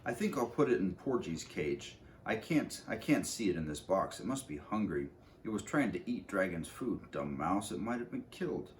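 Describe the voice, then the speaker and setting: A man's voice: sits low, sing-song, normal speed. A man voicing an eager, stubborn young farm boy in a storybook read-aloud, with some background noise. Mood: eager, pleading.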